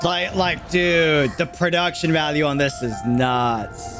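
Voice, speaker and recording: A man's voice: on the high side, takes it slowly. A young man hosting a livestream reaction, geeking out in casual, slangy, rambling commentary with an enthusiastic manner. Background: music playing behind the voice.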